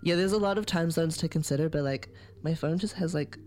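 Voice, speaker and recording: A woman's voice: deep voice, brisk, warm tone, a little hiss or hum. Woman, musician guest, casual, giggly, filler-heavy, radio studio. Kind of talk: casual radio interview chat.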